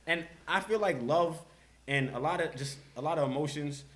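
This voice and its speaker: A man's voice: a little high, normal speed, a bit bright. A man in a casual, candid podcast conversation, talking in an emphatic, slangy way and repeating himself for emphasis.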